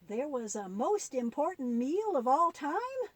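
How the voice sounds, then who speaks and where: Lady doing little boy puppet, high voice, super curious and wide-eyed, swoops around a lot, kids show. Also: very animated, measured pace, no echo. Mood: curious amazement. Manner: wide-eyed, questioning, exclamatory. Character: eager, curious, easily amazed young boy puppet. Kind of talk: puppet-show dialogue for children.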